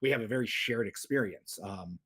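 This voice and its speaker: Husky-voiced man rambling casually. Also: lowish voice, fairly quick, a bit bright. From United States. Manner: rambling, lots of "like" and restarts. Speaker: podcast guest. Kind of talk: casual interview chat.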